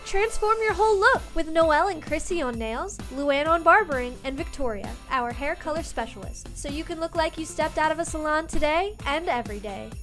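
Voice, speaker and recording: A woman's voice: high-pitched, animated, fairly quick, few pauses, a bit bright, soft background music. A woman delivering a promotional read for a radio advertisement.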